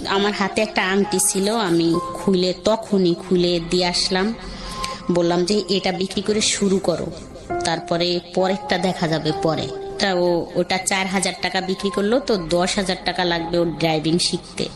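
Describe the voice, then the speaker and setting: A woman's voice: lowish voice, steady tone, moderate pace. A woman with a Bangladeshi accent telling her own life story in a conversational, rambling, colloquial way. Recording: music under the voice.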